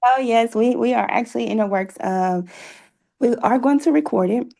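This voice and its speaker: A woman's voice: fairly high pitch, normal speed, gravelly, warm tone. A woman speaking as an interview guest, explaining plans in an earnest, casual way with frequent ums. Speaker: playwright and producer, interview guest.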